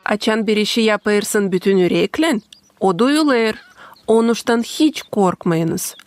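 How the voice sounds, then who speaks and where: Encouraging female cartoon elder, voiced by a woman, mid pitch, calm, gentle, dry close recording. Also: measured pace, dry recording, close to an everyday voice. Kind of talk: animated cartoon voice acting.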